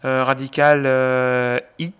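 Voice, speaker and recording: A man's voice: mid-range voice, flat delivery, takes it slowly, a bit bright, clean tone. A French-speaking man co-hosting a casual Korean lesson in a joking, self-mocking manner. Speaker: co-host of a Korean language lesson. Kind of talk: casual teaching with bantering chat.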